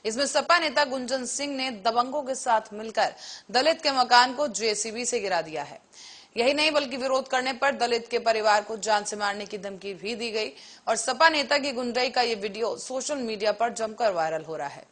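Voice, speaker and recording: Higher-voiced woman news anchor. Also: moderate pace, a bit bright. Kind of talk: Hindi TV news bulletin reading.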